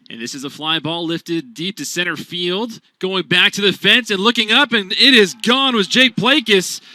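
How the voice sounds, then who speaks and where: High, bright-voiced young play-by-play man. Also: no echo. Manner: animated, punchy sportscaster calls. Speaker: play-by-play announcer. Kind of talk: radio baseball play-by-play commentary.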